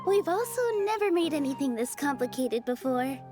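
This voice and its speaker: An actress playing a gentle, encouraging young woman theater performer in an anime game, speaking softly and sincerely. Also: high voice, animated, normal speed. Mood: grateful, cheerful. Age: young adult. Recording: music behind the voice.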